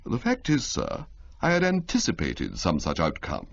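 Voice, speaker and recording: A man doing a quiet, grave valet. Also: deep voice, wildly swooping pitch, normal speed, gravelly, heavily acted. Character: unflappable, formal male valet. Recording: slightly muffled lo-fi audio.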